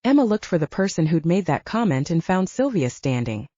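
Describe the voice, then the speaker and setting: A woman's voice: fairly low pitch, big pitch swings, a little fast, dark tone, no echo, muffled recording. A woman reading fiction as an audiobook narrator, in a scripted storytelling style.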